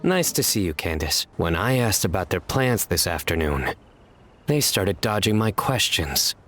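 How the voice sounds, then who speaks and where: Deadpan, composed male investigator. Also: sits low, faint music behind, full character voice. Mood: matter-of-fact. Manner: deadpan, measured. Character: serious, composed male investigator with dry jokes. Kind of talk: video game acting.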